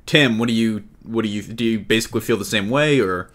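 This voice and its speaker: Low-pitched man hosting a casual podcast. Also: fairly quick, a bit bright. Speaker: podcast host. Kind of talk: casual fan discussion of comic book films.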